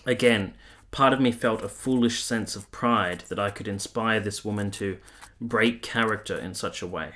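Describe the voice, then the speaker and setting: A man playing an ardent, self-regarding young army officer, narrating a period radio drama in a formal, measured, literary manner. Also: on the low side, a bit bright, lightly acted. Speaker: narrator and lead character.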